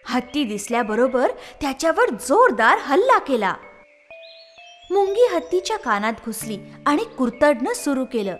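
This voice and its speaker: High, lively woman storyteller. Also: moderate pace, soft background music. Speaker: narrator. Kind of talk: scripted children's story narration.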